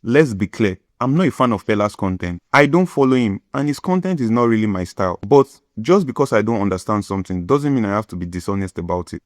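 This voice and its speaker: Nigerian-accented man in his thirties, scripted commentary host, measured delivery, dry booth sound. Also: medium pitch, dry recording. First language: Yoruba. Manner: measured, lecturing, list-like delivery. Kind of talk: scripted opinion monologue.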